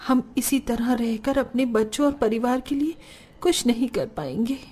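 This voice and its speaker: High-pitched, emotional household woman acted. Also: animated, moderate pace, a little mellow. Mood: earnest. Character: anxious, devoted women of a poor household, mother-in-law and wife. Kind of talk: melodramatic Hindi cartoon family drama.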